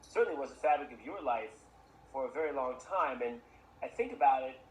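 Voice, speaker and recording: A man's voice: mid-range voice, animated, a little fast. Encouraging male host in an echoey room. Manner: encouraging, asks long winding questions. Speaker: podcast host, former teacher of the guest. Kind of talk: casual interview. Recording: echoey room with faint background noise.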